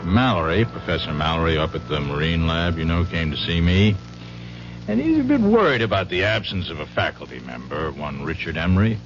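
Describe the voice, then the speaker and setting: A shrewd, unhurried detective in an old radio mystery. His low voice swings expressively as he probes with hesitant, folksy asides. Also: big pitch swings, faint music behind. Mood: tactfully probing. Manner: hesitant, probing, with murmured asides. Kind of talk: 1970s radio mystery acting. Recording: muffled, noisy 1970s radio recording with hum.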